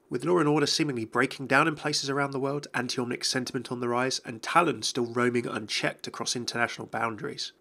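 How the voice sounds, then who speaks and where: A man's voice: medium pitch, sharp tone. A man giving a scripted explainer narration as host of a video.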